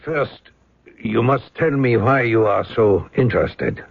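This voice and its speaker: Gravelly, guarded German man. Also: mid-range voice, animated, no room sound, exaggerated voice. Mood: suspicious, probing. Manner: clipped, formal, deliberate questioning. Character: guarded, probing German man, formal and faintly sinister. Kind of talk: radio drama acting. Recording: dull, dry radio drama recording.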